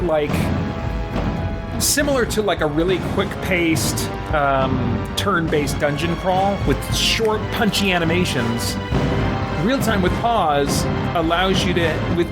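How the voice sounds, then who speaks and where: A man giving casual game commentary, haltingly thinking aloud with mild folksy exclamations. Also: on the high side. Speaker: let's play host. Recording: loud game music behind. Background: video game music.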